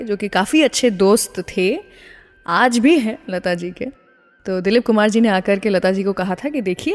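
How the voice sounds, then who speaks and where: A woman's voice: fairly high pitch, darkish tone. Woman radio host with long reverb. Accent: Indian. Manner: mixes Hindi with English phrases. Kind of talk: conversational music radio presenting with anecdotes.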